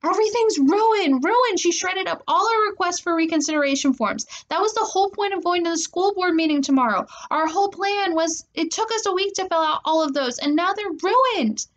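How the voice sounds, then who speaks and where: A woman voicing a furious, distraught young girl in a storybook, yelling her indignant outbursts. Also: medium pitch, fast talker, few pauses, dull audio, close to an everyday voice.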